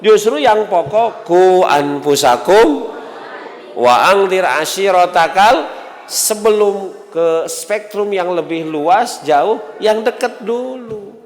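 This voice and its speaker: A man's voice: sits high, lively intonation, slow pace. A man with a Sundanese accent preaching an Islamic sermon conversationally, with rhetorical questions and acted-out dialogue. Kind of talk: sermon to a congregation. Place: large mosque hall. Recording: reverberant mosque hall.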